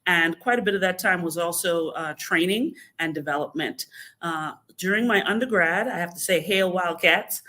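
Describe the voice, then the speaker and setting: A woman from the Bahamas, a podcast guest, speaking in a measured, explanatory way with frequent fillers. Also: fairly low pitch, pushed and bright. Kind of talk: conversational podcast interview.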